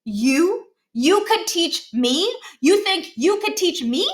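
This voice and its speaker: Woman acting a boastful schoolboy, high voice, indignant, emphatic, hot-headed, storybook character. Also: big pitch swings, slow pace, exaggerated voice. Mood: offended.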